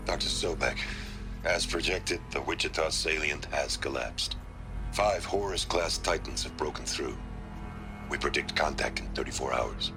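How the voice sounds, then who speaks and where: An actor with an American accent playing a grave, weary senior military commander in a game cutscene, speaking formally and deliberately. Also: male voice, very deep voice, music in the background. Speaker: military general. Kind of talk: dramatic game cutscene acting.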